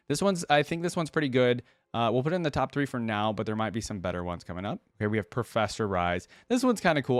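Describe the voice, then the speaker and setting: A man's voice: on the low side, no echo. A man hosting a reaction video, commenting casually and thinking aloud in an informal way.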